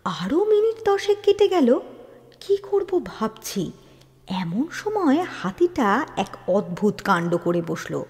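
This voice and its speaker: A woman narrating a literary story in a dramatic, expressive manner, with deliberate pauses and emphasis. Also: on the high side. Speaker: storyteller reading an audio story. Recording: long hall-like reverb on the voice.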